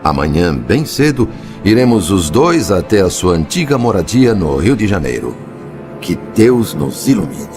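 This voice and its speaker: Compassionate male spirit helper played by a man, low voice with animated melody, solemn and consoling, dramatized audiobook. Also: a little slow, over music. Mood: earnest resolve.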